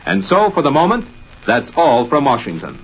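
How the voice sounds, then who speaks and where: A man's voice: mid-range voice, huge pitch swings, normal speed, slightly rough. An American man reading scripted 1940s radio news as a staff announcer. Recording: muffled vintage 1940s radio broadcast.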